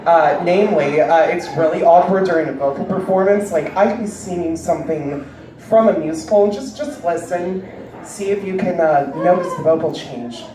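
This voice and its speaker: A woman with a deep voice doing a stand-up comedy set with a wry, deadpan delivery, heard on stage before a live audience. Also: moderate pace, background noise. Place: large indoor venue with live audience. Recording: echoey hall recorded from far off, loud crowd. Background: audience cheering and laughing.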